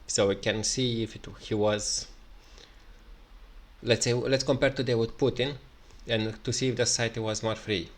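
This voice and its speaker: A man with a Romanian accent, speaking English in an unscripted solo commentary, halting with many fillers and restarts. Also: mid-range voice, fairly even intonation. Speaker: video commentator.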